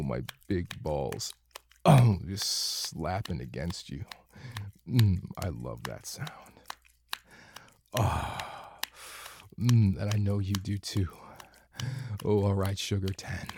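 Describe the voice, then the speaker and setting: A man speaking in a slow, breathy intimate roleplay, moaning and dropping into whispers. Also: low voice. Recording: clear background noise with clicking.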